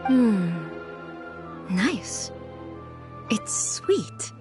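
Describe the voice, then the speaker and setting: A regal, high-voiced female shogun. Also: very animated, music in the background, exaggerated voice. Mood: curious, thoughtful. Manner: formal, measured, thoughtful. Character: almighty female shogun, regal, composed, earnestly curious and naive. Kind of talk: scripted video game dialogue.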